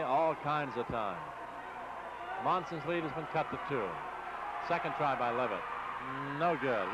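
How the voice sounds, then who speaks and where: An American man doing live radio basketball play-by-play in clipped, rapid-fire short phrases, on an old AM broadcast. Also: a little high. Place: basketball game in a gym. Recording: muffled noisy 1960s AM radio broadcast.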